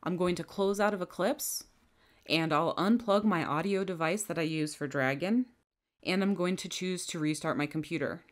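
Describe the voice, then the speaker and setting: A woman with a somewhat low voice narrates a scripted software tutorial as the trainer. She walks through each setting in a calm, methodical way, one click at a time. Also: normal speed. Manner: calm, methodical step-by-step instructions. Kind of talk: scripted screen-recording tutorial. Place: voice-over over a screen recording.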